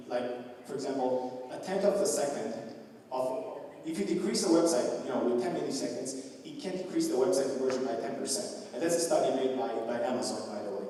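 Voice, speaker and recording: A man's voice: medium pitch, mellow, slight background noise. Young man talking in an echoey hall. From Romania. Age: young adult. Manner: loose, filler-heavy with many restarts. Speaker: conference speaker. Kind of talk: conference talk. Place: large conference hall. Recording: echoey hall recorded from a distance.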